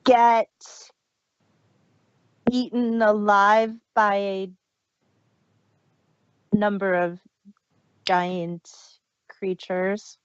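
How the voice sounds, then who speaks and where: A woman's voice: medium pitch, level tone, drawn-out words, pauses often, smooth, no room sound, lo-fi sound. A woman from the Chicago area with a Midwestern American accent, co-hosting a podcast in casual chat with dry, punning humor. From Chicago area, United States. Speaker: podcast co-host.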